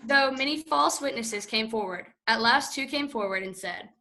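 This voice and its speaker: A woman narrating scripture in a church with a steady, careful reading. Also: a little high, moderate pace.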